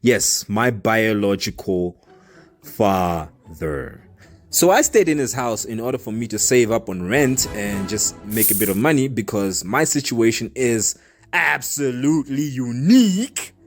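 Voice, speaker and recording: A young man from Zambia telling his own life story in a casual, earnest and open way, with animated emphasis. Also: mid-range voice, unhurried, faint music behind.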